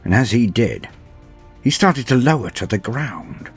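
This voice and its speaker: A man playing a grave, proud, haunted inquisitor, narrating an audio drama in a husky, solemn, theatrical voice with deliberate pauses. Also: medium pitch, sing-song, soft background music, heavily acted. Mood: awed, tense.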